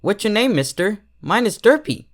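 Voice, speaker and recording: A man voicing an excitable, naive little cartoon filly with an eager, childlike manner that turns squeaky in excitement. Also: normal speed. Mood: eager, curious.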